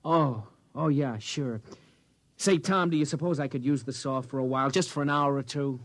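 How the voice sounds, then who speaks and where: An actor playing a friendly, simple carpenter neighbor in a 1950s radio drama, talking in a plain, good-natured way. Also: male voice, medium pitch, moderate pace, fairly bright tone.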